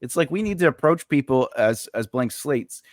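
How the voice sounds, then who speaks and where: A man's voice: mid-range voice, expressive, normal speed, no room sound, clean studio sound. A man hosting a casual podcast chat, rambling with lots of fillers and restarts. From United States. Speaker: podcast host.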